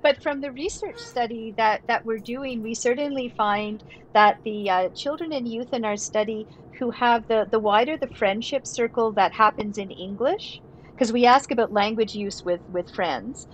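A woman professor and researcher, explaining her findings in a measured way as a podcast guest. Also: on the high side, a little hiss or hum, poor audio. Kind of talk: casual podcast conversation.

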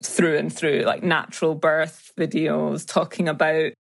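Low-voiced Scottish woman, chatty guest. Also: little pitch movement, a little slow, creaky. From Scotland. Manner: chatty, with frequent light laughs and fillers. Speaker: guest, YouTube creator. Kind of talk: casual interview chat.

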